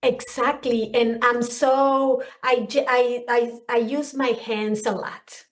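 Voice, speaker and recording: A woman's voice: on the high side, slow. A middle-aged woman with a Spanish accent, speaking English as a second language, earnestly talking as a counselor and guest on a podcast. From Spanish-speaking country. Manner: earnest, expressive, searching for words. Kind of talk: conversational interview.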